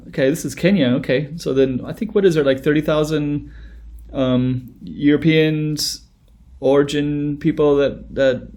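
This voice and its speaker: A man's voice: medium pitch, a little mellow. A man in his thirties hosting a music reaction video, talking casually and rambling with many fillers and restarts, close to a webcam indoors.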